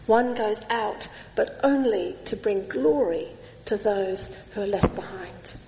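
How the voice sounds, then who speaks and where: A middle-aged woman preaching a sermon in a church, measured, with slow emphatic lists. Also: mid-range voice, mellow. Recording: echoey church hall.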